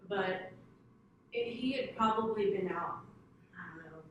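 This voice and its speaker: A woman whose first language is Tagalog, answering questions about her husband in a clinical interview, hesitant and soft-spoken with many fillers. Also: medium pitch, animated, a little slow, dull audio. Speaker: client's wife in case history interview. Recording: echoey room, distant mic, audible noise.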